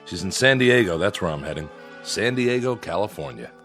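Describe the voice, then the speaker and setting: An actor playing a brash, easygoing young sailor in a radio drama, with folksy, blunt, slangy delivery. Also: male voice, low voice, lively intonation, fairly quick, fairly bright tone, faint music behind. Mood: easygoing, friendly. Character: brash, easygoing young sailor hitchhiking back to his ship.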